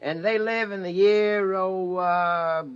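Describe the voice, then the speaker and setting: An actor in a 1950s radio drama playing a fast-talking, pushy film man with a hidden menace. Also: sits high, steady tone, smooth, highs cut off. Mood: breezy storytelling. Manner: breezy, slangy, pushy.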